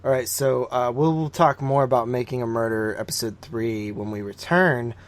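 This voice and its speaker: A man co-hosts a podcast in a medium-pitched voice. He talks casually and loosely, swears freely and likes to tease. His opinions come out in sweeping exaggerations. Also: moderate pace. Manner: profane, teasing. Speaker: podcast co-host.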